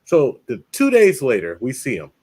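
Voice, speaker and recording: A man's voice: mid-range voice, moderate pace. A man hosting a livestream, chatting casually and telling a long story, acting out the people in it. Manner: animated storyteller, puts on voices for people in his stories. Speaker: livestream host.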